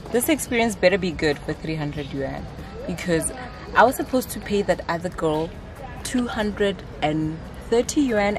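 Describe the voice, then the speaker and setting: A young woman in her twenties chatting to viewers on a travel vlog, filmed outdoors on a lake boat with a handheld camera. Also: low voice. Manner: chatty, animated, exclaiming to viewers. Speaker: travel vlogger. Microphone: handheld camera at arm's length.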